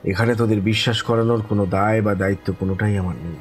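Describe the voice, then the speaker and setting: An actor playing a weary, earnest elder-brother figure in an audio horror drama, speaking in a measured, philosophical way. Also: male voice, on the low side, steady tone, faint music behind, lightly acted. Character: grave, weary older-brother storyteller of eerie experiences. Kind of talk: audio horror story acting.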